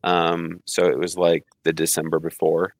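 A man being interviewed as an author on a podcast, hesitant and filling pauses with ums and uhs while laughing often. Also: sits low, monotone, no echo. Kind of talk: casual podcast chat.

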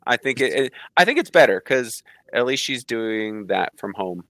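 A man being interviewed as an author on a podcast, hesitant and filling pauses with ums and uhs while laughing often. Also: medium pitch, sing-song, fairly bright tone, dry recording, clean studio sound. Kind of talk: casual podcast chat.

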